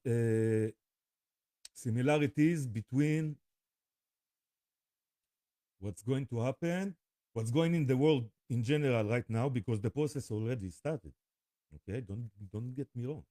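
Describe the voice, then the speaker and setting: A man with an Israeli accent speaks in a very dark, mellow voice at a slow pace. His delivery is halting and informal, full of pauses and repeated words, as he rambles to camera. The sound is dry and close, with little room in it. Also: medium pitch, no echo. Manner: halting, with frequent pauses and repetitions. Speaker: spiritual vlogger.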